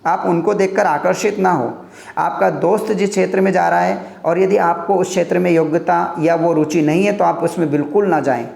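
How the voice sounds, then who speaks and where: Earnest gravelly man in an echoey room. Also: sits high, fairly even intonation, fairly quick, a little mellow. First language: Hindi. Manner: earnest, repeats points in lists. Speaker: motivational teacher. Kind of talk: motivational career talk.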